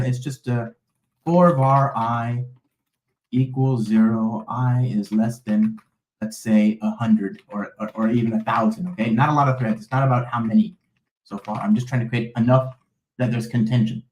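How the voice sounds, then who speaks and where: A man's voice: medium pitch, fairly even intonation, moderate pace, darkish tone, reverberant, rough recording. A man hosting a live coding stream, rambling through the code with lots of fillers and checks like "okay?" and "right?". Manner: talks to a live chat while typing code. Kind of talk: live coding walkthrough, casual and chatty.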